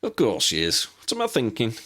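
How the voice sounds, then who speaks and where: A man's voice: mid-range voice, wildly swooping pitch, fairly quick, bright, forceful tone, pitched above the performer's own voice, heavily acted. A man voicing a short, tremulous old wizard pilot, fussy and obliging, in a storybook reading. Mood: obliging, chatty. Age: older. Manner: chatty, repeats himself.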